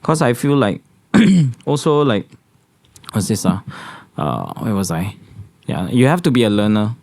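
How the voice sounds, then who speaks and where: A young man with a Singaporean English accent, speaking calmly and evenly as a financial consultant guest on a podcast. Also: a little slow, vocal fry, no echo. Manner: calm, low-key, says he speaks monotonously. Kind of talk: casual podcast chat.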